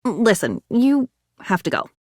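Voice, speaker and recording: A woman's voice: fairly high pitch, big pitch swings, a little slow, a little mellow, no echo, clean studio sound. A woman playing a living diary in a video game, whimsical, chatty and sardonic, rambling playfully with dramatic asides. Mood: playful, excited. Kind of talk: expressive video game voice acting.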